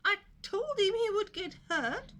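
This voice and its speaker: Woman doing a shrill, fussy hen. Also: lively intonation, unhurried, pushed and bright, a little hiss or hum, exaggerated voice. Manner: shrill, anxious warning.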